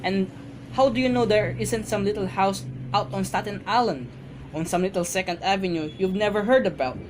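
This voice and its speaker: A woman's voice: mid-range voice, fairly quick, natural voice. Woman as a brusque, weary police sergeant. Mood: patronizing. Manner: patronizing, brusque. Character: weary, dismissive male police desk sergeant. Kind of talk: amateur radio drama acting. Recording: faint background noise.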